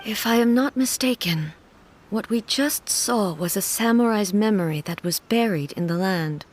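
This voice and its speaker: A woman voicing a dignified shogun of godlike authority in a video game, speaking in a calm, formal, measured manner. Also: medium pitch, natural voice. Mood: solemn, explanatory.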